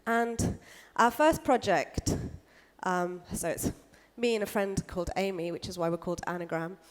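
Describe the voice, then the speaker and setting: A woman's voice: fairly low pitch, normal speed. A woman from the UK giving a casual conference talk, with lots of "kind of" and "like" fillers. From United Kingdom. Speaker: speaker. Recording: echoey hall.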